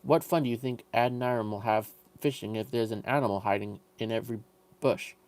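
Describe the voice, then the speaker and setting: A man's voice: medium pitch, fairly quick, a little mellow, lightly acted. Man playing a blunt, folksy farmer husband. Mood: scolding. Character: plain-spoken farmer husband, folksy and gruffly commanding.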